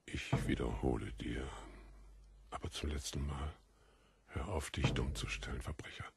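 An actor playing a pained, scornful Roman governor interrogating a prisoner in an audio drama. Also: male voice, rumbling low, moderate pace, in a whisper. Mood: cold, threatening. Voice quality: gravelly, husky. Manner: curt, scornful, commanding.

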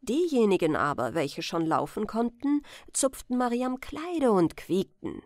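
Slow, expressive woman storyteller. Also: mid-range voice, no room sound, clean studio sound. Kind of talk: scripted bedtime fairy-tale narration.

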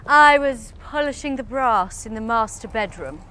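A woman's voice: fairly high pitch, expressive, moderate pace, sharp tone, clear, resonant tone. A young woman playing a prim housemaid questioned in a comic murder mystery, theatrical in manner. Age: young adult.

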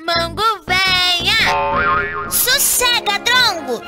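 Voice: extremely high pitch, sing-song, extremely slow, heavily acted. An actor voicing a silly, teasing, giggly childlike cartoon creature with a Brazilian accent. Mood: cheeky. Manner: giggly, exclamatory, taunting. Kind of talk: cartoon dialogue in Portuguese. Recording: loud cartoon music behind. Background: children's music, boing effects.

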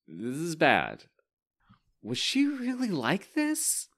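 A man putting on a slow, slurring drunk teen boy. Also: on the high side, huge pitch swings, fairly bright tone, heavily acted. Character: drunk teenage boy, blunt and wry. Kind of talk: audiobook reading.

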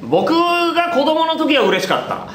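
A man's voice: big pitch swings, moderate pace, fairly bright tone. A young man playfully hosting a quiz, teasing and mock-bossy, on a noisy webcast from an echoey studio. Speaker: quiz host. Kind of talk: playful game-show banter. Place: small indoor studio, close to camera. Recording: echoey room, noisy low-quality webcast.

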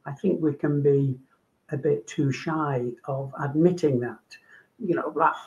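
An older man with a Manchester accent speaks on a video call from his home, stopping often to restart his words. His voice sits a touch high for a man. The sound is dull and lo-fi. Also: steady tone, a little mellow. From England. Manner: halting, with many ums and repeats. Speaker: guest doctor. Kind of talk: casual video call interview. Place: home room.

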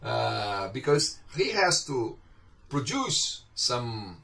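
A man's voice: medium pitch, very animated, very slow, gravelly, sharp tone. A middle-aged man with a Brazilian Portuguese accent gives an informal online university lecture, conversational and often checking in with his students. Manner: conversational, questions students often, gestures while talking. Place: home office with bookshelves. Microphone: webcam on a video call. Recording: slightly distant video-call audio.

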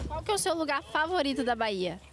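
A woman's voice: sits high, big pitch swings, fast talker, music in the background. A young woman reporter presenting cheerfully outdoors and asking passers-by questions into a handheld mic. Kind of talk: presenter to camera, street interviews. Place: outdoors in a historic town square.

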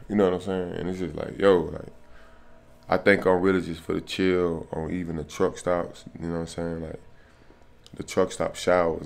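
Low-voiced American man, slangy casual vlogger. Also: gravelly. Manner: slangy, repeats "man", "bro" and "you know what I'm saying". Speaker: truck driver vlogger. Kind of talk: casual vlog talk to camera. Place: small furnished hotel room, indoors.

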